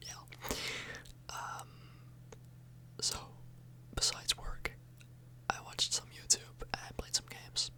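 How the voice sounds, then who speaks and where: A woman whispering softly and breathily in a casual, rambling ASMR video, often hesitating and correcting herself. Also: takes it slowly, long pauses. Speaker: ASMR YouTuber, host. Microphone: microphone a little further away than usual.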